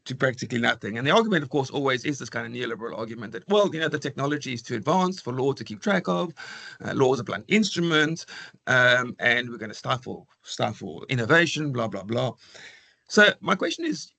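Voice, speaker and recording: A man with a croaky voice asking a long, rambling question on a seminar video call, often repeating words. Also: medium pitch, very animated, fairly quick, pushed and bright, no room sound.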